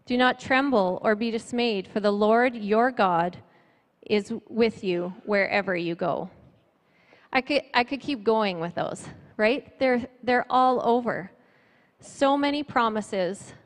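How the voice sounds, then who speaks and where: A middle-aged woman speaking at church in a measured, earnest way, giving testimony and scripture from notes on a handheld microphone. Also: medium pitch. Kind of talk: sermon with personal testimony and scripture reading. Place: church stage before a seated audience, indoors. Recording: reverberant hall, amplified church sound.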